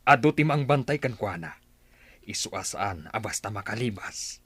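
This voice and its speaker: An actor with a Filipino accent playing a commanding, righteous warrior chief of a tribe in a radio drama, with a husky voice. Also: male voice, on the low side, lively intonation, normal speed, a bit bright, no echo. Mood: solemn, relieved.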